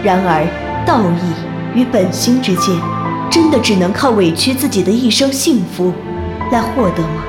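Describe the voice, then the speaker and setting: A woman narrating a wuxia audio drama as a scripted story, solemn and measured. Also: medium pitch, animated, close to an everyday voice. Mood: solemn, questioning. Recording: roomy reverb with music behind.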